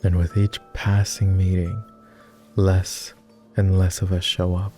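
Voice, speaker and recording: A man playing a lonely, gentle vampire who speaks formally and haltingly in an intimate ASMR roleplay. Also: deep voice, monotone, faint music behind. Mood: earnest, wistful. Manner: formal, old-fashioned wording with halting, hushed delivery. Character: clingy lonely male vampire, courtly, gentle, easily flustered.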